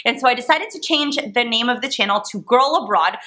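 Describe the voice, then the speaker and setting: A young woman from Canada chatting to the camera in a vlog, rambling and animated with lots of fillers. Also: a little high, a little fast. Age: young adult. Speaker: vlogger. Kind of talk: casual chat to camera. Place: small dim living room indoors.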